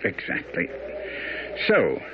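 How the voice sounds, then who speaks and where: An actor playing a shrewd, courteous English detective in a radio drama, musing aloud with brisk authority. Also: male voice, natural voice. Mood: musing, deducing. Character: shrewd, methodical English detective questioning and deducing. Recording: tinny, phone-like radio drama, noisy.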